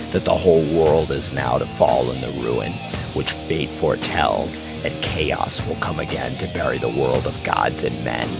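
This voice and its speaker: An actor playing an appalled, fearful witness in an ancient tragedy, declaiming weighty verse with a gravelly voice and awestruck delivery. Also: male voice, extremely low pitch, exaggerated voice. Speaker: actor in a staged reading of classical tragedy. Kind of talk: solemn theatrical verse declamation.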